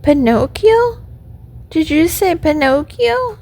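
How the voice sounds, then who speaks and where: A woman's voice: very high voice, expressive, measured pace, voice pushed up, exaggerated voice. A woman voicing an emotional wooden puppet boy from a storybook, plaintive and full of exclamations and questions. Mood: tearful, startled. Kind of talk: storybook character voice.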